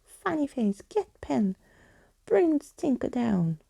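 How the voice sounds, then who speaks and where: Woman voicing a bossy, high-pitched storybook villainess. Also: big pitch swings, slow, vocal fry, dark tone, husky, dry recording, heavily acted. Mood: furious, commanding. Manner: commanding, howling. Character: shrill, bossy female villain shrieking orders at her monster.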